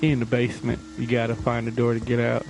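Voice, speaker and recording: A man's voice: mid-range voice, monotone, fast, gravelly. A man chatting casually and giving slangy, laid-back instructions during a video game. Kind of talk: casual chat while coaching a video game. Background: music.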